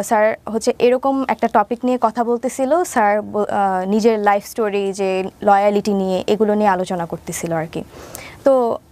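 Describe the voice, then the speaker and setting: A woman's voice: medium pitch, darkish tone. Young Bangladeshi woman telling her story. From Mymensingh, Bangladesh. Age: around 20. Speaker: interview guest telling own life story. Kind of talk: conversational personal storytelling.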